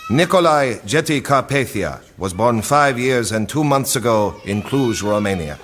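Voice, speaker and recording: A man acting in an audio drama as a cold, efficient project director, delivering a briefing in a precise, deliberate way. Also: mid-range voice, expressive, a bit bright, slight background noise. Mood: matter-of-fact.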